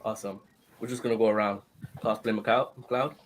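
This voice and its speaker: A man's voice: lowish voice, fairly even intonation, fairly quick. A man from Guyana hosting a podcast over a video call, upbeat and chuckling between turns. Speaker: podcast host. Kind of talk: casual group chat.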